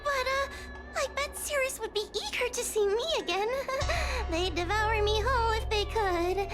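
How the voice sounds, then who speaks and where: Young fox girl, very high voice, timid, nervous, hesitant, game dialogue over music. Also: normal speed. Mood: frightened, nervous. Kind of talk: video game voice acting.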